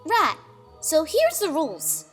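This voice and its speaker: A woman voicing a bossy, lively young filly pony with an emphatic, folksy, commanding manner in a storybook reading. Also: very high-pitched, slow, faint music behind, voice pushed up, full character voice.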